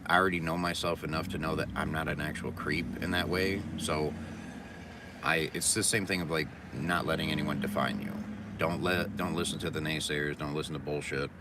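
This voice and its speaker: Very deep-voiced man, rambling and sarcastic. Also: steady tone, moderate pace. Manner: rambling, sarcastic, reading chat comments aloud. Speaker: vlogger. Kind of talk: casual monologue. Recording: distant mic with loud background noise.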